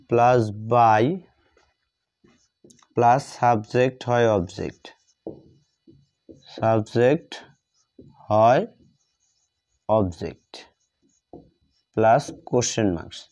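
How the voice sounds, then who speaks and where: A man with a Bengali accent gives a grammar lesson in a soft, mellow voice. He dictates extremely slowly, repeating each phrase in small pieces. The sound is dull and lo-fi. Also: mid-range voice, clean tone. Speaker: English grammar teacher.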